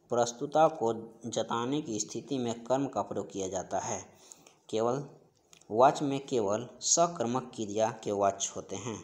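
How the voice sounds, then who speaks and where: A man teaching an exam-preparation class, going through questions and answers in an instructional, repetitive way. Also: medium pitch. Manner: repetitive, instructional, stressing key terms by repeating them. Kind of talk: explaining quiz questions in an online class.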